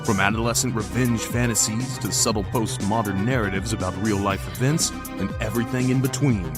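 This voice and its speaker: Low-voiced man narrating over prominent music. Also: a little fast. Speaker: podcast announcer. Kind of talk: scripted podcast intro narration. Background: intro music.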